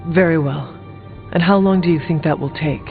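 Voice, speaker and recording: An actor playing a solemn, blunt warrior hero in a video game, speaking formally and deliberately. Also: male voice, on the high side, gravelly. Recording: muffled narrow-band sound with long reverb. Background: music.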